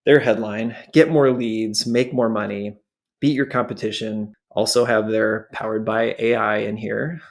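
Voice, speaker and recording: A man's voice: lowish voice, fairly even intonation, unhurried. A man hosting a product walkthrough, explaining things in short phrases as commentary. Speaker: show host and presenter.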